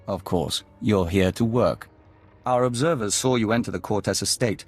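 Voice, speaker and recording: An actor playing a serene, courteous envoy of an alien insect hive in a video game, speaking formally and in measured phrases as a collective "we". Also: male voice, fairly low pitch, close to an everyday voice. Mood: serene, polite.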